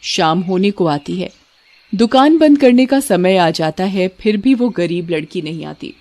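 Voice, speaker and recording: A woman's voice: on the low side, expressive, a little slow, warm tone. A woman narrator telling a scripted children's story.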